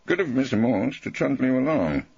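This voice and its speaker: A man's voice: medium pitch, big pitch swings, a little fast, creaky, darkish tone, slightly rough, no room sound, muffled recording, voice pushed up, full character voice. A man playing an irreverent, witty old male barrister with a wry, florid, mock-courteous manner. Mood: breezy.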